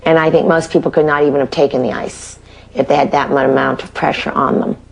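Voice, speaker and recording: A woman interviewed about an athlete, speaking deliberately and emphatically. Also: sits low, steady tone, vocal fry. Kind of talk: commentary.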